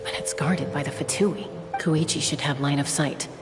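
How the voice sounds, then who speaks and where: Game role: disciplined woman scout, played by an actress, low-pitched, terse and focused, music clearly behind. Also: steady tone, normal speed, natural voice. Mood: watchful, tense. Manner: terse, focused, matter-of-fact. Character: cool, disciplined adult woman scout on a stealth mission. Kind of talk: video game voice acting.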